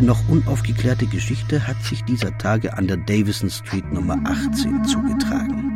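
An actor playing a detective's loyal doctor friend in a German radio play, narrating in a measured, wry, literary way. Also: male voice, mid-range voice, lightly acted. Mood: dry, matter-of-fact.

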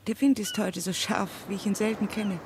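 A woman's voice: medium pitch, steady tone, a little fast, background noise, lightly acted. An actress playing a weary, sorrowful working-class mother who also narrates plainly in a classic radio drama. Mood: forced brightness.

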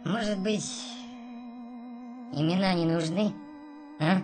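A man's voice: high voice, slow. An actor playing a cheeky, bold unborn baby boy in a radio play, talking in short theatrical phrases. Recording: studio radio play with music behind.

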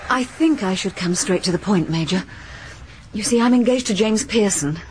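A woman's voice: mid-range voice, normal speed, a little mellow, a little hiss or hum, close to an everyday voice. Actress as a poised, forthright young lady. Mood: earnest, direct. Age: young adult. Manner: polite, forthright. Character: poised, direct, determined well-bred young woman. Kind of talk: broadcast radio drama acting.

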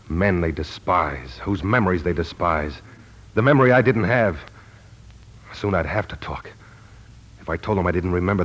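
An actor playing a wary, troubled amnesiac war veteran who narrates in a terse, hardboiled 1940s radio style. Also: male voice, low voice, breathy edge. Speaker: lead actor and first-person narrator. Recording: 1940s radio broadcast with music.

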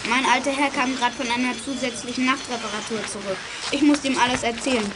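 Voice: high-pitched, fairly even intonation, fast talker, close to an everyday voice. A young performer playing a plucky, eager schoolboy sleuth in a children's audio drama. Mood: matter-of-fact. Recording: loud background noise.

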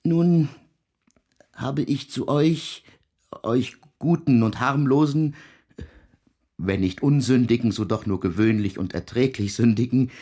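An actor playing a tormented middle-aged composer in a radio drama, speaking a halting, repetitive, solemn confession in archaic formal language, with a husky voice. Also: male voice, medium pitch, dark tone, natural voice. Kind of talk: German radio drama monologue.

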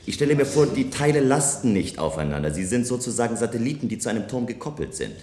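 An actor playing a self-assured visionary engineer in a German science fiction radio play, speaking in measured, persuasive statements. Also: male voice, mid-range voice, lively intonation, warm tone, close to an everyday voice. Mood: assured, insistent. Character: self-assured visionary male engineer proposing a bold tower. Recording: roomy, echoing studio radio play sound.

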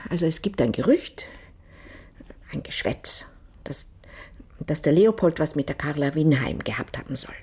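A woman's voice: sits low, animated, normal speed, pauses often, darkish tone, slightly rough, exaggerated voice. An actress playing an anxious, evasive, gossipy woman in a radio drama, confiding nervously.

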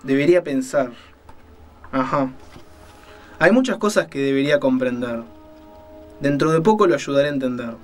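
A man's voice: medium pitch, soft background music, natural voice. Man playing a menacing, coldly courteous killer. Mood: controlled menace. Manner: formal, deliberate, mockingly polite, interrogating. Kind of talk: dramatized audiobook reading.

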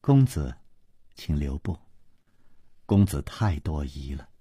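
A man voicing a frail, graceful noblewoman in an audiobook, speaking gently and courteously with sighs. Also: very deep voice, sing-song, takes it slowly, breathy edge, no echo, studio quality, voice pushed down, exaggerated voice. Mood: gentle. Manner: gentle, sighing, polite.

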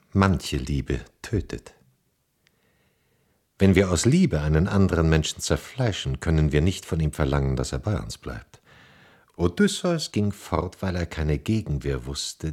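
A man playing a stern, accusing stranger, a loyal envoy, in a theatrical radio drama, formal, grave and reproachful with a husky voice. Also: very deep voice, sing-song, measured pace, darkish tone, exaggerated voice.

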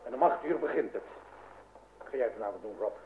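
A man's voice: mid-range voice, lively intonation, fast, crowd noise, lightly acted. An actor playing a flustered, grumbling middle-aged father who stammers and repeats himself in a radio comedy. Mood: impatient. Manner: blustering, stammering, repetitive. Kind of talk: old radio comedy-drama acting. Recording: tinny, noisy old radio recording.

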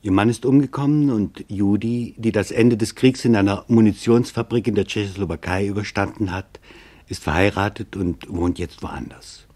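A man narrating a scripted radio documentary in a sober, deliberate manner. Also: fairly low pitch, mellow.

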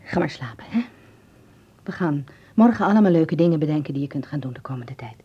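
Tender worried mother, radio play, lowish pitch, very breathy husky voice, soothing, hesitant, dull vintage sound. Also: animated, a little fast, heavily acted. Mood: soothing, reassuring. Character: gentle, worried mother of a sick boy. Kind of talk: radio drama acting. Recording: muffled old radio play recording.

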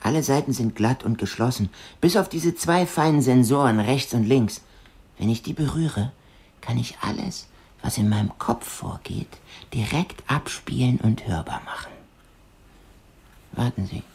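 An actor playing a dreamy, obsessive young eccentric musician in a radio drama, half-whispering in a breathy, intimate voice. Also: male voice, mid-range voice, animated, unhurried, darkish tone, exaggerated voice. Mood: hushed, focused.

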